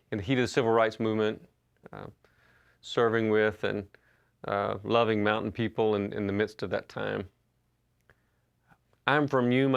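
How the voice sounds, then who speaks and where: A man in his fifties preaches in an easy, conversational way, full of small pauses and restarts. His voice sits low and carries a gravelly fry. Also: fairly bright tone, highs cut off. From Western North Carolina. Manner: conversational storytelling with frequent uh and restarts. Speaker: pastor. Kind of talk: sermon. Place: church sanctuary.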